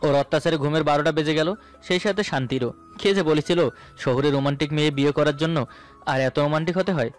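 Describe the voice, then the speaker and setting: A man's voice: a little high, quick pace. A man narrating a scripted romantic story in the first person. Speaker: narrator of romantic audio stories. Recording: voice over background music.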